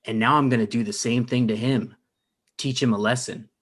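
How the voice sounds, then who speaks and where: A man's voice: medium pitch, fairly even intonation, brisk, lightly acted. A man playing a neurotic, self-absorbed playwright, conversational and rambling, in a comedy table read. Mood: bitter, obsessed.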